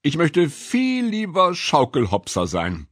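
A man acts out a good-hearted pair of talking suspenders from a children's storybook. The delivery is grumbly yet kind, swinging widely in pitch, in a close, dry recording. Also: wildly swooping pitch, slow pace, a bit bright, dry recording, heavily acted. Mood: delighted. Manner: grumbly, kindly. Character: good-natured, compassionate talking pair of suspenders, eager for fun.

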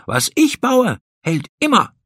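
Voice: mid-range voice, huge pitch swings, slow pace, a bit bright, husky, no echo, studio quality, voice pushed up, heavily acted. A narrator voicing a boastful, scornful young male animal in a children's storybook. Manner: cocky, scornful.

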